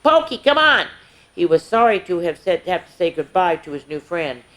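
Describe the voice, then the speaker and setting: A woman hosting story time, reading aloud to young children in an expressive way with little asides. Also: fairly low pitch, fairly quick, poor audio.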